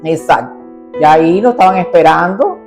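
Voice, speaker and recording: A middle-aged Cuban woman with a lower voice tells her life story as an interview guest. She is animated and acts out her family's voices as she goes. Faint guitar music plays behind her. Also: moderate pace. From Cuba.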